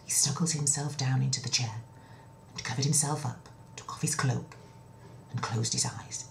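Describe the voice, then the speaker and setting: A woman telling a children's story in an expressive, dramatic way, with breathy dips toward a whisper and a chatty, confiding touch. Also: a bass voice, moderate pace, a little hiss or hum, rough recording. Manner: chatty asides to the listener. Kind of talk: children's story narration, told in own words.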